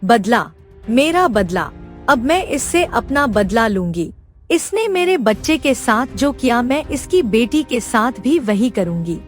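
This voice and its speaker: A woman's voice: on the high side, very animated, a little slow, soft background music, voice pushed down. A woman voicing a vengeful female shape-shifting serpent spirit in a cartoon story, speaking in a menacing, bitter way. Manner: menacing, bitter, vowing revenge.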